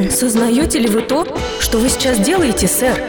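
A woman's voice: sits high, lively intonation, a little slow. A woman playing an astonished, politely formal young waitress in a radio play. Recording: loud music under the voice.

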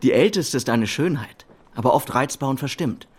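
A patient, good-natured young man in a radio play. He talks mildly and earnestly at a medium male pitch, in a chatty, devoted way. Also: expressive, normal speed, a little mellow, breathy edge. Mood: fond, earnest. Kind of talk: German radio drama acting.

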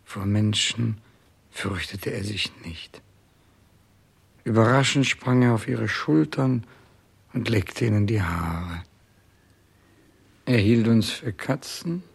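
Husky, halting dying king. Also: medium pitch, exaggerated voice. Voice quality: husky, gravelly. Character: dying old king grieving for his lost cat. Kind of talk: 1960s German radio play acting.

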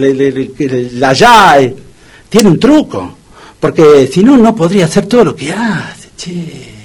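Lively-pitched, gloomy Argentine bloke. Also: male voice. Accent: Argentine Rioplatense. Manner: colloquial, lamenting, repeats words. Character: gloomy, soft-hearted dog owner, an ordinary bloke. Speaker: radio comedy actor. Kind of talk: comic radio drama acting.

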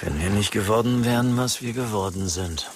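An actor playing a melancholy entrepreneur, musing in a subdued way in a radio play. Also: male voice, on the low side, moderate pace, a little hiss or hum, lightly acted. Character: weary male entrepreneur, melancholy.

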